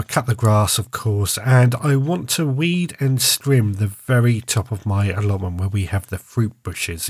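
A man's voice: lowish voice, dry recording, clean studio sound. A man, stuffy-nosed with a sore throat, hosting a casual solo podcast in a chatty, rambling way and often restarting his phrases. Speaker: podcast host.

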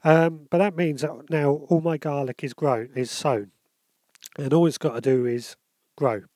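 Stuffy-nosed male podcast host, slightly high voice, dry close sound, chatty and full of ums. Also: steady tone, unhurried, mellow, dry recording. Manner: rambling, lots of ums and self-corrections. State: bunged up with a cold. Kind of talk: casual solo podcast.

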